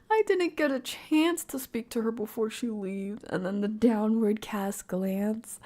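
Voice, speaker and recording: A woman reacting to a video as a livestream host, casual and slangy, animated as she analyses and thinks aloud for her viewers. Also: medium pitch.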